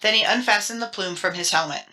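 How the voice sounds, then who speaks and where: A woman narrator with a bright, crisp-edged voice at a medium pitch. She reads a scripted children's adventure tale in a dramatic storybook style. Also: fast talker, soft background music, rough recording. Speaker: audiobook narrator.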